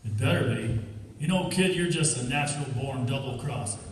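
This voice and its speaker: An actor playing a suspicious, cold small-time crook in an old-time radio crime drama, talking tough and sardonic slang. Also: male voice, mid-range voice, brisk, natural voice. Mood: cold, threatening. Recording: echoey hall, distant mic, faint noise.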